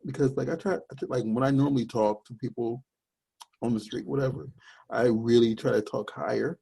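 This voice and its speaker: A young man with a deep voice in casual podcast chat, rambling and searching for words with many fillers. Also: brisk, vocal fry, mellow, breathy edge.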